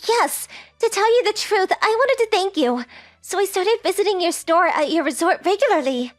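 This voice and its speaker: An actress playing a sweet, lovestruck high school girl, earnest and affectionate, in an anime-style manga dub. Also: lively intonation, moderate pace, no echo, faint music behind. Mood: sincere.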